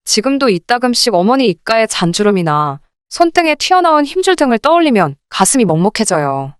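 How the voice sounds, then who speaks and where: A woman's voice: mid-range voice, clean tone, no echo, studio quality. A woman narrating a scripted first-person story in a polite, confiding storytelling manner. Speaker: narrator of a radio-drama story channel.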